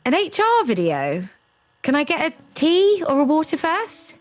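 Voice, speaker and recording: Sardonic young career woman, expressive pitch. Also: measured pace, soft background music, heavily acted. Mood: wry, brisk. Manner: wry, sarcastic. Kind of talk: audio drama acting.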